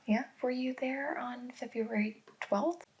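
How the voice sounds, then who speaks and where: Woman acting a soft, whispery female detective. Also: on the high side, fairly even intonation, slow. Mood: gently probing. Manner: gentle, probing, reassuring. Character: calm, patient female detective gently questioning a suspect. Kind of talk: soft-spoken ASMR roleplay.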